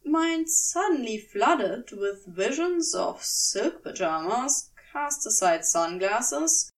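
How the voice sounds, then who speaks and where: Slow, expressive woman narrating a story. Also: a little high, far from the mic. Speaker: amateur audiobook reader.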